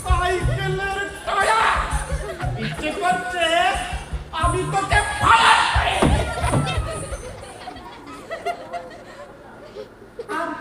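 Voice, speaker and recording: Woman playing a bossy, exasperated troupe director, high-pitched, echoey. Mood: angry. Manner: scolding, sarcastic, theatrical. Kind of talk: comic stage play acting. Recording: echoey hall from far off, music, audience noise.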